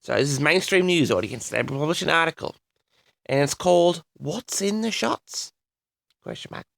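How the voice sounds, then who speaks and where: A man hosting a personal audio log, rambling solo to his audience in a theatrical manner with drawn-out words. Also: on the high side, animated, vocal fry, a bit bright, no echo, studio quality.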